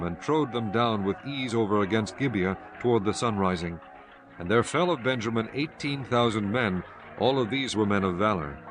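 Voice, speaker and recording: A man narrating scripture for an audiobook in a formal, measured storytelling manner. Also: fairly low pitch, background noise.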